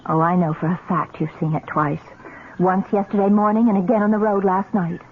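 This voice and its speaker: A woman's voice: lowish voice, steady tone, a little fast, natural voice. Woman acting a solicitous, questioning young fiancée, tinny sound. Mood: probing. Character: caring, doubting young fiancée, probing and affectionate. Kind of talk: old-time radio drama acting. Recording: tinny noisy old radio recording.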